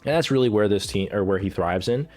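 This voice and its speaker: Man, lowish voice, rambling, profane, lots of fillers, coming down with a cold, faint background music. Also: quick pace, darkish tone. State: getting sick, coughing. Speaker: gaming content creator ranking characters. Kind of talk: casual unscripted commentary.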